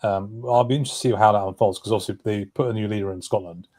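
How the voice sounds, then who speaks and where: Dude talking politics super fast, low mellow voice, keeps correcting himself. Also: male voice, fairly even intonation. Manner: talkative, runs on with asides and self-corrections. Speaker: guest commentator. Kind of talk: political discussion.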